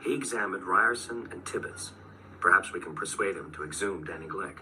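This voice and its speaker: An actor playing a thoughtful, wary man who narrates and speaks in a horror radio drama, in a measured, sober way. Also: deep voice, pushed and bright. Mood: serious, hesitant. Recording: distant replayed radio drama, faint hiss.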